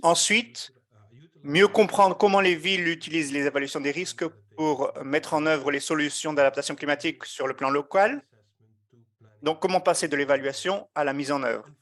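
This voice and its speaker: A man giving a live interpretation of an online meeting, measured and often hesitating as he keeps up with the speakers. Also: a little high, fairly bright tone. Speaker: simultaneous interpreter.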